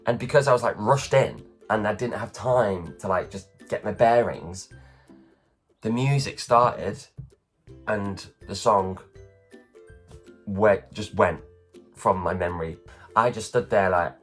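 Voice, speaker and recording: A man in his thirties from England, telling self-deprecating stories in a casual morning vlog, his voice low and worn after a late night. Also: slow pace, long pauses, faint music behind. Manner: chatty, self-deprecating, acts out others' lines. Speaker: vlogger, performer. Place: at home.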